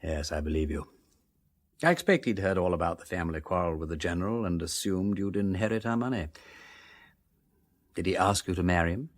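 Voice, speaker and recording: An actor playing an urbane, flippant but kindly gentleman detective in a radio drama, with an upper-class English accent and breezy, drawling old-fashioned slang. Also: low voice, a little fast, long pauses, close to an everyday voice. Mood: probing, sympathetic. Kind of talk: full-cast radio drama acting.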